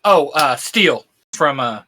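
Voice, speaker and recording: A man co-hosting a casual podcast chat, excitable and rambling, laughing often. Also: fairly high pitch, takes it slowly. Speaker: co-host.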